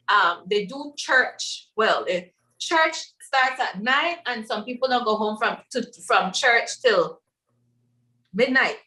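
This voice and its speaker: A woman's voice: medium pitch, pushed and bright, roomy sound, far from the mic, poor audio. A woman from Belize moderating a panel discussion in a chatty manner with many fillers.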